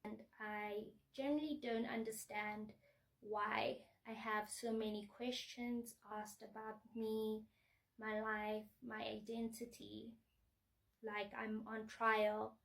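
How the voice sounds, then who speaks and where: Slow-talking woman with a gravelly edge. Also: on the high side, distant mic, low-quality recording. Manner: earnest, measured and reflective. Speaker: vlogger. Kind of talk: personal vlog monologue.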